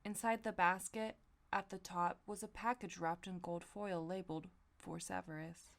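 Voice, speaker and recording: A woman's voice: mid-range voice, steady tone, normal speed. A young woman hosting a comedy podcast, reading fan fiction aloud with slangy, casual asides. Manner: slangy, profane, giggly asides. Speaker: podcast host.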